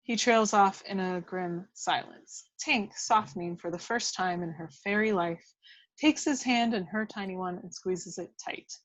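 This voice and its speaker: A woman's voice: medium pitch, a little slow, low-quality recording. A woman reading stage directions as scripted narration at a table read of a film script.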